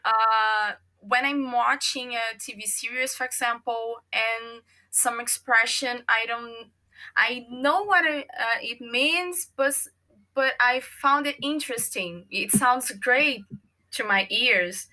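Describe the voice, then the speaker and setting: A Brazilian woman, a native Portuguese speaker and former English teacher, speaks English with a Brazilian Portuguese accent in a casual podcast interview, pausing often to search for words. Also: a little high, pushed and bright.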